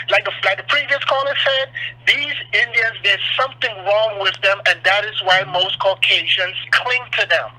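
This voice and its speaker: A man's voice: sits high, bright, forceful tone, breathy edge. A Trinidadian man calling in to a talk show, emphatic and repeating himself. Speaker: caller. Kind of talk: call-in radio chat. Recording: tinny phone line.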